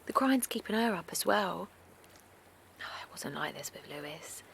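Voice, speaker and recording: A frazzled, exhausted young mum. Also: female voice, fairly low pitch, expressive, normal speed, creaky, a bit bright, slight background noise. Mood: anxious weary. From Essex. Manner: anxious, apologetic, rambling, often half-whispered. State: worn out. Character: exhausted young mother of a colicky newborn. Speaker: new mother. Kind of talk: naturalistic audio drama acting. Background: faint outdoor seaside ambience.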